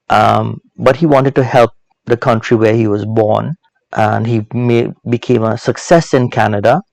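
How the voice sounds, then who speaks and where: A man hosting a teaching series, speaking in a reflective, sermon-like but informal way, deliberate and emphatic, with repeated phrases and rhetorical questions. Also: lowish voice, gravelly, darkish tone, no room sound. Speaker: host of a Bible proverbs teaching series.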